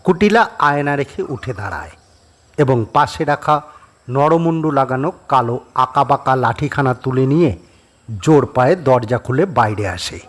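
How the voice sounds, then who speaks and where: Animated male horror story narrator. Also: medium pitch, normal speed. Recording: clearly noisy background.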